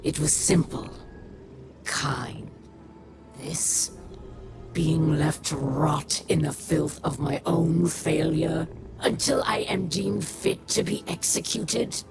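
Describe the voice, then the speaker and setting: An actress voicing a proud, bitter imprisoned alien warrior in a video game, speaking in measured, formal phrases that often sink toward a whisper. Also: female voice, sits low, gravelly, over music, exaggerated voice. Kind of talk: dramatic video game dialogue. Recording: loud ambient noise behind.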